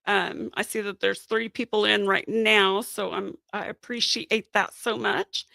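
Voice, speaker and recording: A woman's voice: medium pitch, fairly even intonation, a little slow, vocal fry, bright, forceful tone, dry recording. A woman hosting a casual live-stream chat on her channel, losing her voice and sounding scratchy, rambling along with plenty of fillers. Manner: chatty, rambling with many fillers. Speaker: channel host. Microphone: podcast microphone.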